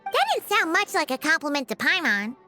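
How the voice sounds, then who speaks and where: Game casting: very high, bouncy little fairy creature, exclaiming and bubbly, over game music. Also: female voice, big pitch swings, fairly quick, bright, forceful tone, heavily acted. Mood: chirpy. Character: tiny chirpy female floating companion creature. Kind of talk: video game voice acting.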